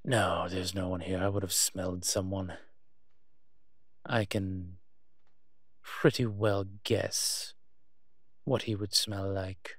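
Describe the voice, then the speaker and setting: Man acting as a slow, low-voiced haughty vampire. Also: flat delivery, no echo, studio quality, exaggerated voice. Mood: dry, fussy. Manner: pompous, wry, posh turns of phrase. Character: refined, haughty, centuries-old male vampire, fussy and teasing. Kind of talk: roleplay audio drama acting.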